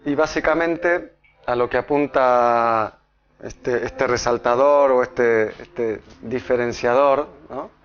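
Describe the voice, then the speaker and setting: A man's voice: mid-range voice, highs cut off. A man with an Argentine accent giving a live talk to an audience, often restarting phrases and checking in with "¿no?". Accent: Argentine Spanish. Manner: halting, with many restarts and checks of "¿no?". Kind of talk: live public lecture. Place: lecture room with an audience.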